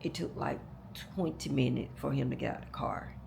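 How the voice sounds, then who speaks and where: A hoarse woman, telling a long story to her viewers in a casual, rambling way, with many restarts. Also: a bass voice, fairly even intonation, moderate pace, background noise. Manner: rambling, repeats and restarts, talks straight to "y'all". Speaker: vlogger.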